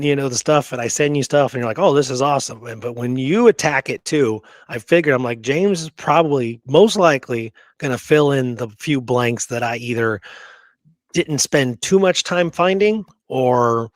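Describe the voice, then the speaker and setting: Male history host, mid-pitched, conversational and emphatic, casual research chat in a dry recording. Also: moderate pace, dry recording.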